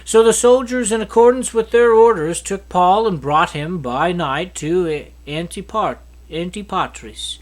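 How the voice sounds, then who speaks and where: A man reading a Bible chapter aloud in a steady, earnest manner, with the odd slip and restart. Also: high voice, unhurried, few pauses, poor audio. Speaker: scripture reader.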